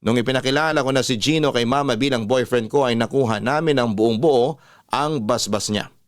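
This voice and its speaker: A man's voice: medium pitch, brisk, no room sound. Filipino guy storyteller reading a letter radio style, mid voice, dry, music bed underneath. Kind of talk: scripted narration of a letter, classic radio style.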